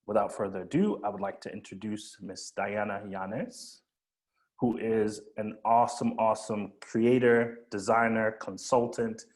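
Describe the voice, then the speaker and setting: A man with a fairly low voice introduces a guest to a class over a video call. His delivery is upbeat and aimed at children. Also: a little mellow. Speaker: program host introducing guests.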